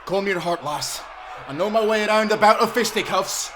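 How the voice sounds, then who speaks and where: Man playing a high-voiced, bold Scottish timberman. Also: normal speed, fairly bright tone, slight background noise. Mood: rousing, combative. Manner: gruffly defiant, swaggering. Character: bold, defiant Scottish timberman, brawling adventurer. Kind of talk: audio drama acting.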